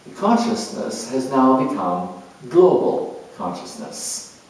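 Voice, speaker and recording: Slow, deliberate man in a very echoey room. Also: mid-range voice, sing-song, a little mellow, a little hiss or hum. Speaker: astrologer, presenter. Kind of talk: narrated astrological dramatization. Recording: very echoey room, distant microphone.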